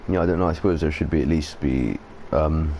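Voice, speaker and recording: Really deep voiced guy gaming in his bedroom, muffled headset mic, noisy background. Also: fairly quick, mellow. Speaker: game streamer. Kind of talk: casual live gameplay commentary. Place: hot bedroom. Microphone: console headset mic.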